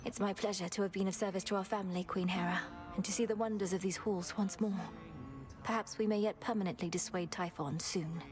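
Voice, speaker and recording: A woman playing an earnest, determined young underworld princess and witch in a game, speaking formally and with measured care. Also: mid-range voice, fairly even intonation, music in the background, natural voice. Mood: respectful, formal. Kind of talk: scripted game acting.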